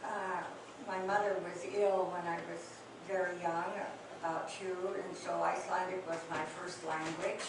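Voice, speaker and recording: An older woman telling family memories to a small group in a hesitant, reminiscing way, with many pauses and fillers. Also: lowish voice, flat delivery. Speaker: speaker recalling family memories. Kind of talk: reminiscing oral history talk. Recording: echoey room, distant mic, noisy.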